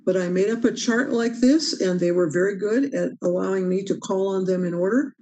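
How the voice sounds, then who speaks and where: A woman's voice: mid-range voice, fairly quick, mellow. A woman presenting a training session on meeting procedure over a video call, earnest and emphatic as she stresses key rules. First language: English. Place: home or office on a video call. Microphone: video call microphone.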